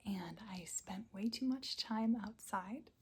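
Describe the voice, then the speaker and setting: Woman ASMR host for a casual update chat, whispered throughout and very breathy. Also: medium pitch, slow. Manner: soft unvoiced whisper with small quiet laughs.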